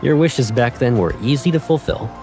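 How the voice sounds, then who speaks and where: A man's voice: mid-range voice, animated, normal speed, lightly acted. A man playing a teasing, affectionate young man, a protective childhood friend, in a fan dub of a romance game. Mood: teasing, nostalgic. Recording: faint music behind.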